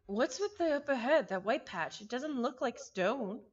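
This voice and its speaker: A woman voicing a puzzled, serious traveler from a visual novel in a measured, matter-of-fact way. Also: a little high, expressive, breathy edge, dull audio.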